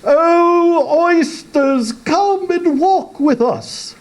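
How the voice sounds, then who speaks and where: A man's voice: extremely high pitch, lively intonation, extremely slow, voice pushed up, full character voice. A man voicing a pompous, plaintive walrus in storybook verse, with a florid, beseeching, theatrical manner. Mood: coaxing.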